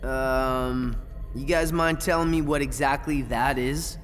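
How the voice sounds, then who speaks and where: A man voicing a cocky, sarcastic tough teenage boy in an animated game cutscene, with a casual, sardonic delivery. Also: medium pitch, slow, soft background music, natural voice. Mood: wry.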